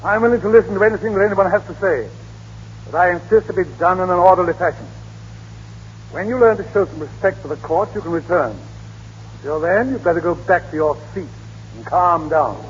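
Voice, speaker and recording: Male actor as authoritative judge, formal, stern, high-pitched, muffled vintage radio drama. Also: a little fast, close to an everyday voice. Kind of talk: 1930s-40s radio drama acting. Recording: echoey, muffled, noisy old radio broadcast.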